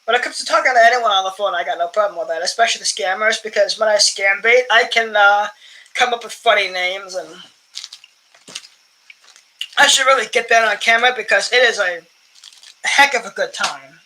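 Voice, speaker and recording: A young man with a higher voice for a man chats casually while sorting his coin collection, amused at his own stories and often clearing his throat. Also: fairly quick, sharp tone, a little hiss or hum, poor audio. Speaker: coin collecting channel host. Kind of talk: casual rambling vlog chat while sorting coins.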